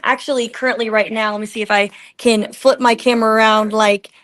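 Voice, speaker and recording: Higher-voiced woman giving informal live report. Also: steady tone, brisk, a bit bright. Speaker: field reporter.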